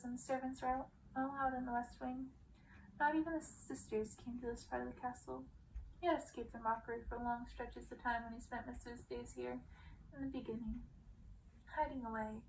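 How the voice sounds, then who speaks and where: A woman reading a fantasy storybook aloud as scripted ASMR narration, with a creaky voice. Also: fairly high pitch, darkish tone, a little hiss or hum, rough recording. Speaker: storybook reader, ASMR creator.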